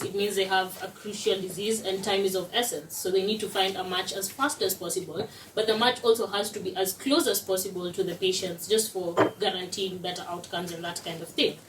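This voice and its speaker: A young woman from Kenya, explaining a student project to an audience in a steady way. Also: medium pitch, slight background noise. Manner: explanatory, steady, uses "basically" as a filler. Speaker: student presenter. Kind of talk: presentation. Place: lecture room. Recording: roomy echo, distant mic.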